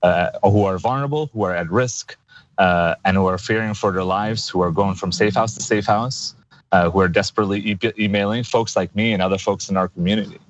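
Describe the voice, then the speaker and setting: Earnest man in his thirties, deep voice. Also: dull audio, slight background noise. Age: 30s. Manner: earnest, halting with many fillers and restarts. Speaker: guest activist. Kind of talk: interview answers.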